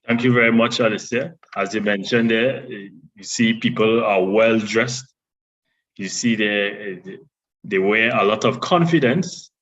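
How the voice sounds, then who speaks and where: A man with a deep voice presenting a public speaking workshop, explaining points in a measured way with small restarts. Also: fairly bright tone, highs cut off. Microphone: online session audio.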